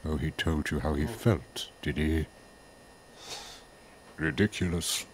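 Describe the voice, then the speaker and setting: A man's voice: very deep voice, takes it slowly, slight background noise. A man voicing a cold, scornful mysterious figure in a video game.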